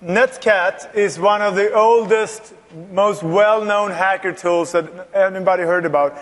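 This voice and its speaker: A man's voice: high voice, a little slow. A man in his forties co-presenting a security talk at a conference, bantering and joking with his colleague. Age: 40s. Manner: bantering, jokey, laughs often. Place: large conference hall. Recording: long hall reverb.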